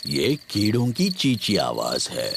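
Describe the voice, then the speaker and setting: A man's voice: low voice, huge pitch swings, measured pace, creaky, heavy background noise, exaggerated voice. A man voicing a big, cheerful, self-assured daddy pig in a children's cartoon dub. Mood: easygoing. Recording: cartoon music behind the voice.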